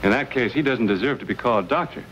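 A man's voice: medium pitch, fast talker, fairly bright tone, natural voice. An actor in his thirties playing a cool, stubborn frontiersman in an old western, with a dry, plain-spoken manner. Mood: challenging. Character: cool, stubborn frontiersman, polite but defiant. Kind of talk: 1950s television western acting. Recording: muffled, hissy old TV soundtrack.

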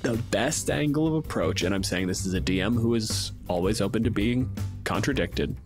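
A man's voice: lowish voice, normal speed. A man narrating a tabletop game as game master in a casual, improvised, wry and descriptive way. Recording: music underneath. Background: background music.

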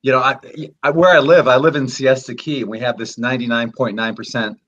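A man in his late fifties speaks on a video call in an earnest, steady way. He explains at length and leans on repetition to drive his points home. His voice sits in an ordinary middle range for a man. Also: medium pitch, fairly quick, highs cut off. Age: 50s. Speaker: guest. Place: indoor room.

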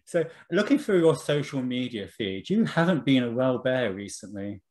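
A man's voice: medium pitch, big pitch swings, moderate pace, darkish tone. Guy hosting a video call interview from home, friendly, encouraging, a bit teasing. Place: home room with bookshelves.

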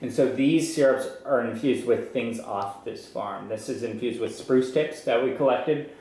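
A young man giving an informal farm tour, explaining as he goes with halting, repeated starts. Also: mid-range voice, dark tone. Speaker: maple farmer giving a tour. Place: large farm sugarhouse and tasting room. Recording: echoey farm building, some background noise.

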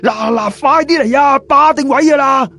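A man voicing an excitable middle-aged male jade trader in an audio story, urgently calling out news on the phone. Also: extremely high pitch, vocal fry, faint music behind, pitched above the performer's own voice, heavily acted. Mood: frantic excitement. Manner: urgent, shouting, drawn-out calls.

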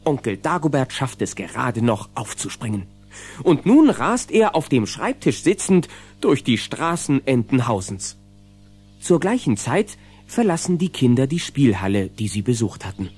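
A man's voice: medium pitch, a little mellow, husky. A man narrating a children's radio play in a dramatic storytelling manner.

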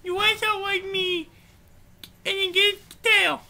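A performer voicing a vain, pushy, crude male pig in a comedic puppet-show style, squeaky and blustering. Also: flat delivery, a little slow, gravelly, sharp tone, full character voice.

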